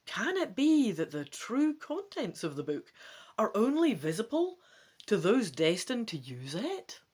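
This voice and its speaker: A woman hosting a gamebook playthrough, reading dramatically in an expressive, playful way with casual asides. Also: medium pitch, a little slow, breathy edge. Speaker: host of a gamebook let's play.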